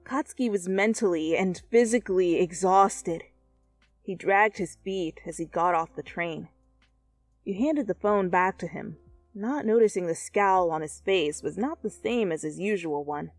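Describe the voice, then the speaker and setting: A woman giving an expressive, theatrical dramatic reading of fan fiction as narrator. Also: on the low side, unhurried, no room sound. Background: background music.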